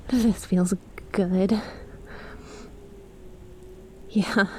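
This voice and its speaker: Casting: woman to play a young female lamia best friend for ASMR roleplay, unhurried, soft, hesitant and affectionate. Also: medium pitch, a little hiss or hum, close to an everyday voice, poor audio.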